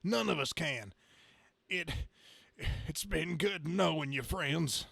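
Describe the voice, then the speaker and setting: A man's voice: a little high, slow pace, creaky, bright, forceful tone, husky, dry recording, full character voice. A man voicing a folksy, good-old-boy trucker superhero in an amateur comic-book reading. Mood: resigned.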